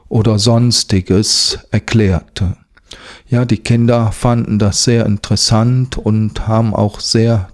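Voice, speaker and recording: A man's voice: lowish voice, darkish tone. A man giving a formal, prepared account of his part in a school project. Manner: measured, formal. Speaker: project contributor. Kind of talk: prepared statement.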